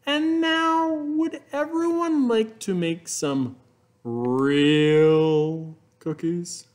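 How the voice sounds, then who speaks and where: A cheerful lady schoolteacher from a storybook, played by a man, pitched high. She speaks slowly to her class, stretching her words out. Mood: inviting. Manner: high, slow, drawn-out delivery.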